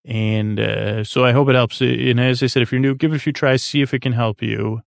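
A man with a gravelly, creaky voice at a middling pitch, recorded close and dry. He hosts a sleep podcast, drifting through halting tangents and correcting himself as he goes. The delivery is lulling and reassuring. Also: steady tone, dry recording. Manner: meandering, halting, full of tangents and self-corrections. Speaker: podcast host. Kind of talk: rambling bedtime sleep podcast monologue.

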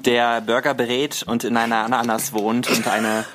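A man's voice: medium pitch, level tone, normal speed, fairly bright tone, a little hiss or hum. A man talking as a podcast guest in a casual, playful, tongue-in-cheek way.

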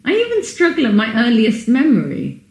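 A woman's voice: fairly high pitch, wildly swooping pitch, a little mellow. A woman co-hosting a podcast, chatting playfully and joking with her co-host. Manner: playful, jokey, quick back-and-forth. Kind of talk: casual chat. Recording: echoey room with noise.

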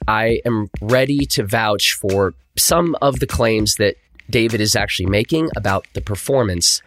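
A man with a slightly raspy voice, speaking as a podcast host introducing and interviewing. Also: medium pitch, fairly bright tone, a little hiss or hum.

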